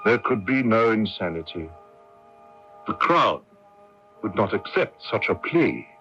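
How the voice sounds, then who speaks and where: Radio drama lead: condemned Irish rebel, sardonic and fatalistic, sweeping theatrical pitch, muffled old broadcast sound. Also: male voice, big pitch swings, unhurried, fairly bright tone, faint music behind. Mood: resigned, wry. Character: condemned Irish patriot prisoner, bitter, fatalistic, eloquent. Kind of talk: radio drama acting with narration. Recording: dull, muffled 1980s AM radio recording.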